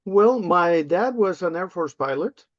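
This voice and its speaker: An older man from Peru, a native Spanish speaker, answering interview questions casually and haltingly with frequent ums and uhs. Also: sits high, expressive, no room sound. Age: 50s or older. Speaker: interview guest, language access specialist. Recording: slightly dull, lo-fi close audio.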